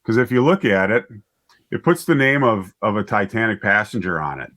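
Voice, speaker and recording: A man in his fifties telling stories as an interview guest, conversational and halting, often repeating words and correcting himself. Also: fairly low pitch, very animated, a bit bright. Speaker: writer and director, interview guest.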